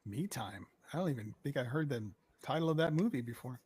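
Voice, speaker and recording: A man co-hosting a casual podcast, loud, with quick exclamations and swearing. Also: medium pitch, lively intonation, husky, dry recording. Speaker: podcast co-host. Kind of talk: casual chat.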